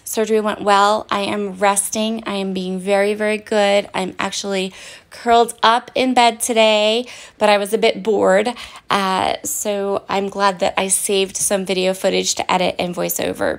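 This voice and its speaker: A woman giving a casual, chatty voice-over of her craft project at home, her voice raspy and hoarse and she often pauses with "um". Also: mid-range voice. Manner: chatty and apologetic, with frequent "um"s. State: hoarse, voice partly fading. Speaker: craft vlogger. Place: at home, in bed.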